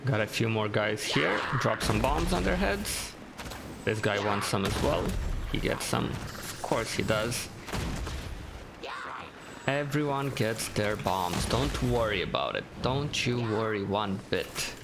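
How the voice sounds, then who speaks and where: A man's voice: fairly low pitch, lively intonation, slow, creaky. A man giving casual, easygoing let's play commentary as a streamer, talking to himself over game sound. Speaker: gaming streamer. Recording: game sound and noise behind.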